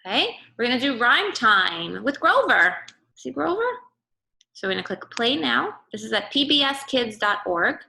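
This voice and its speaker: A woman's voice: on the high side, sing-song, moderate pace, creaky, bright, forceful tone. A woman teacher giving a remote read-aloud lesson for young children, explaining simply and often checking in with a short question. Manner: instructive, checks in with "okay" and "right?". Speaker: early-grade teacher.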